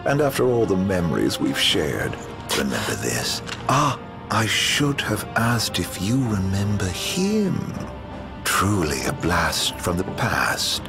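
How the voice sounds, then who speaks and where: Sly villain, very deep and gravelly. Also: male voice, huge pitch swings, takes it slowly, heavily acted. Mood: mocking menace. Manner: theatrical, silky, mock-polite. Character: smug, theatrical immortal male villain, mocking and sly. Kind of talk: video game villain acting. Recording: music behind the voice.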